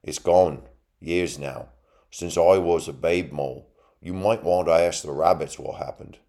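A man's voice: low voice, measured pace, warm tone. A man voicing a cheery young female mole with a folksy, exclaiming way of talking. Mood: grave.

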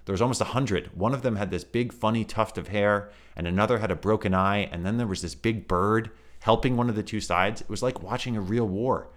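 A man voicing a prickly, skeptical girl with a sharp, dismissive edge. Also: on the low side, brisk, few pauses, voice pushed up, natural voice. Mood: excited. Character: skeptical, prickly older sister.

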